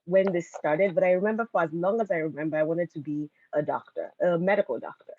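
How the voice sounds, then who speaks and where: A woman's voice: on the low side, fast talker, no room sound, narrow, tinny audio. A woman professor from Nigeria, telling her story conversationally as an interview guest. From Lagos, Nigeria. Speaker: college professor, podcast guest.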